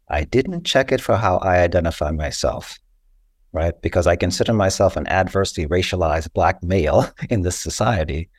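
A man with a very low voice talks as an interview guest in a dry, close-sounding recording. He explains step by step in a deliberate, didactic manner, often pausing to check agreement. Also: a little mellow, dry recording. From Costa Rica. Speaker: interview guest, social worker and writer.

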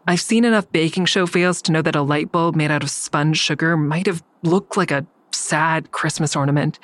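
A woman playing an anxious but determined young amateur sleuth in a naturalistic audio drama. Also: deep voice. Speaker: lead character and first-person narrator.

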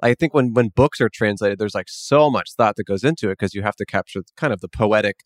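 A man's voice: lowish voice, a little fast, dry recording, clean studio sound. A man appearing as a podcast guest, chatting casually, laughing easily and mocking himself. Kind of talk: casual chat.

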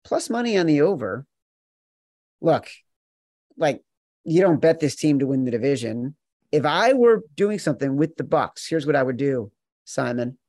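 A man with a fairly high voice hosts a sports betting podcast. He talks with emphatic, mock-exasperated teasing, in a close, dry recording. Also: sing-song, moderate pace, long pauses, darkish tone, dry recording. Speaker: podcast host.

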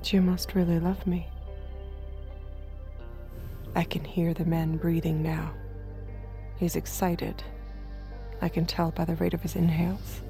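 Music plays under a slightly low, hushed female voice. She is a haunted android girlfriend confessing her story in an intimate audio drama, often sinking toward a whisper. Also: flat delivery, a little slow, long pauses. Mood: hushed, tense. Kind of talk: intimate first-person audio drama narration and dialogue. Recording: music behind the voice.

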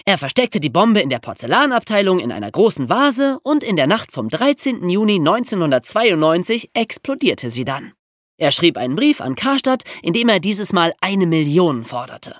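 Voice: medium pitch, sing-song, normal speed, no room sound, clean studio sound. A podcast host telling a story in a colloquial, conversational way, with rhetorical questions. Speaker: podcast host telling true crime stories.

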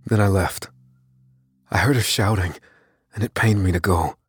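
Low, husky man as earnest vigilante narrator. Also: normal speed, no room sound, studio quality. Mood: solemn, resolute. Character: unassuming man recounting his secret vigilante night, earnest, determined. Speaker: narrator of an audio drama. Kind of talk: dramatic first-person scripted narration. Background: music under the voice.

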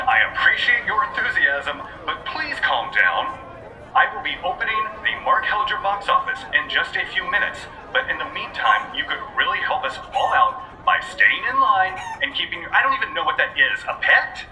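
An actor playing an exasperated box office clerk pleading with an unruly crowd in an audio comedy. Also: male voice, high voice, big pitch swings, moderate pace, pushed and bright, a little hiss or hum, heavily acted. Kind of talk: scripted audio comedy acting. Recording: echoey, distant announcement with music behind.

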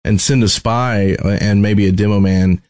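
A man's voice: lowish voice, fairly even intonation, normal speed, darkish tone. A man co-hosting a podcast, chatting casually about video game strategy. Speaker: podcast co-host.

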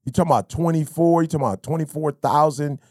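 A man, hosting a podcast in casual, animated talk full of slang and stories. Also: fairly high pitch, quick pace, dry recording, clean studio sound. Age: 50s. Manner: animated, storytelling, slangy with bro and you feel me. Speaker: podcast host. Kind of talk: casual motivational chat.

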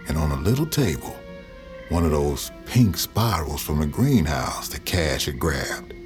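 An actor playing a folksy Southern man who recalls dark events in a halting, drawn-out storytelling manner for an audio drama. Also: a bass voice, sing-song, music in the background, exaggerated voice. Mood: haunted, wondering. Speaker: narrator.

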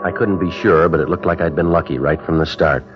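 Guy playing a clipped undercover cop, deep and low, lo-fi 1950s radio drama. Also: brisk, over loud music, lightly acted. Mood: calm narration. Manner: clipped, flat, matter-of-fact narration. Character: undercover police detective posing as buyer, terse. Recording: dull lo-fi old radio broadcast.

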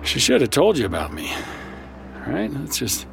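A wry, chatty therapist in a naturalistic audio drama. His pitch jumps about in a very animated way as he hesitates and rambles sardonically. Music plays clearly behind him. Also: normal speed, full character voice. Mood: strained, soothing. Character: wry, chatty, flustered male therapist who brawls apologetically.

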